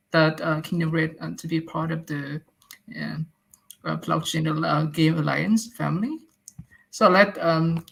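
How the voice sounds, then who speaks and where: A woman's voice: low-pitched, creaky, rough recording. A woman introducing her game studio on a video call, hesitant with many uhs. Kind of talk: casual online presentation.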